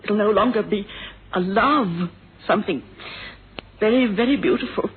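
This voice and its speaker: A woman's voice: mid-range voice, normal speed, crowd noise, natural voice. A woman acting a proud, defiant widow in a radio mystery drama, theatrical, emotional and biting. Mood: bitter, wounded. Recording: muffled old radio drama recording.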